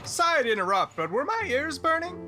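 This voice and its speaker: Man playing a high-voiced, wry young-sounding soldier. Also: big pitch swings, brisk, bright, forceful tone, soft background music, heavily acted. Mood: wry, frank. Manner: casual, wry, blunt. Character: cocky, plain-spoken male mech soldier. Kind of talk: audio drama acting.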